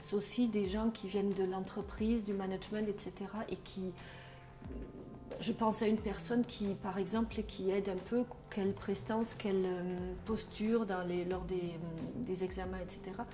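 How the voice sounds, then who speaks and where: A woman's voice: medium pitch, steady tone, normal speed, roomy sound, music in the background. A middle-aged woman from Germany speaking with a German accent, talking hesitantly with many fillers and restarts in a testimonial interview as a trainer. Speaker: trainer at a social work school.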